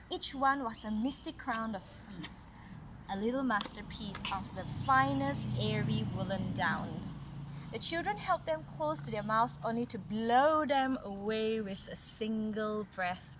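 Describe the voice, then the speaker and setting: A woman reads a story aloud as a mother to a young child, adding gentle conversational asides. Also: fairly high pitch. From Malaysia. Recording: noisy recording with background sounds. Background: ambient noise, young child nearby.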